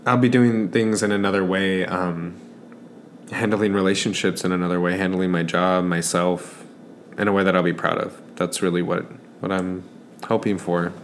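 A man's voice: deep voice, steady tone, normal speed, slight background noise. A man in his twenties hosting a podcast, talking casually and personally in an earnest, reflective way. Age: 20s. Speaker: podcast host.

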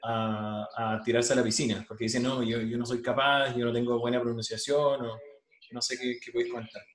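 A man's voice: medium pitch, flat delivery, a little fast. Chilean guy asking long rambling interview questions, sounds kinda echoey. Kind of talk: casual interview.

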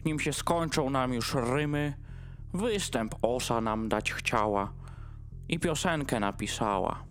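A man's voice: mid-range voice, expressive, slow, fairly bright tone, soft background music. A man playing a cheeky dog who talks in rhyme in a comic Christmas audio play. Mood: cheerful. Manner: cheeky, rhyming.

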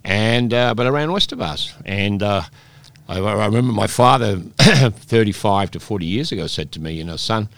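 A man in his sixties, raised in Peru, telling rambling stories with many restarts as a guest in a relaxed interview. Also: lowish voice, moderate pace, no echo. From Scotland, raised in Peru. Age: 60s. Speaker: guest, oyster bar operator.

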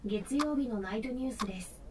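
A woman's voice: a little high, a little fast, noisy background, close to an everyday voice. A woman playing a composed late-night news announcer, reading formally in a radio drama. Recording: loud ticking and clicks behind.

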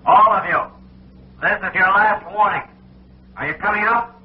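Guy playing stern fed calling out orders, old radio drama, high voice, lo-fi sound. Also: close to an everyday voice. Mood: stern warning. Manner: commanding, deliberate. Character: stern male FBI agent calling out an ultimatum. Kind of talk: old-time radio crime drama acting. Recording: muffled, noisy old radio recording.

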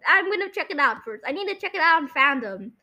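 Bright, high-pitched young podcast host, female-sounding. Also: big pitch swings, quick pace. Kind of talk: casual game chat, guessing voice lines.

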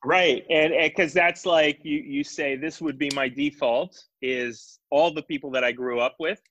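A man's voice: fairly high pitch, steady tone, measured pace, a bit bright, highs cut off, a little hiss or hum. A man from Israel chatting casually as co-host, thoughtful and hesitant, with frequent fillers. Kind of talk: casual chat.

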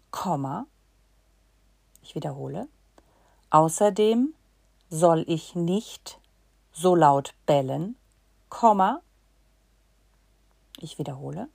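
A woman giving a slow dictation to learners, reading in short chunks and saying the punctuation aloud. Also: sits low, creaky, darkish tone, breathy edge, no room sound, studio quality.

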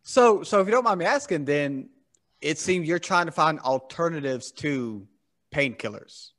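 Chatty, enthusiastic higher-voiced male host. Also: lively intonation, normal speed, fairly bright tone. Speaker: podcast host. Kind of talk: casual interview chat.

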